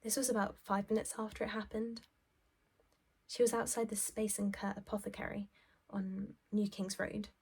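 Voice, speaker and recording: Woman acting a shaken, husky young office woman. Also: medium pitch, flat delivery, a little mellow. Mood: numb, shaken. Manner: halting, sweary, breathy drops into near-whisper. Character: shallow, self-absorbed well-off young office woman, shaken. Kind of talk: dramatic stage monologue, conversational first-person recounting.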